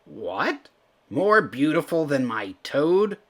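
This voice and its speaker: Man putting on a cocky young boy beast, husky. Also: on the high side, sing-song, takes it slowly, fairly bright tone, voice pushed up, exaggerated voice. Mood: proud, excited. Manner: cocky, indignant, blunt. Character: bossy, spoiled sixteen-year-old boy beast. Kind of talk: storybook character voice.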